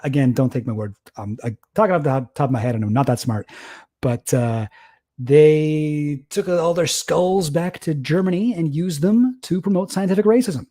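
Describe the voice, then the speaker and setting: A man's voice: a little high, mellow. A young man casually commenting on a livestream, rambling, self-deprecating and laughing often. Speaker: streamer reacting to a debate.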